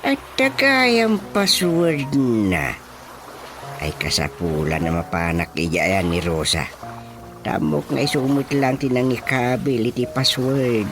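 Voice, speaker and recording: A man playing a cranky, boastful old father in a radio comedy drama, with an Ilocano accent and drawn-out comic exclamations. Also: mid-range voice, very animated, over music. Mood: gloating, muttering. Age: older.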